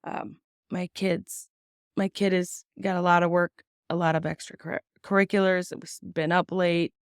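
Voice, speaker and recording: Blunt, raspy-voiced woman. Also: lowish voice, little pitch movement, measured pace, no echo, clean studio sound. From United States. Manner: blunt, swears freely, many ums. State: sick and tired, a bit raspy. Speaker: podcast host. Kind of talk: casual opinion commentary on news.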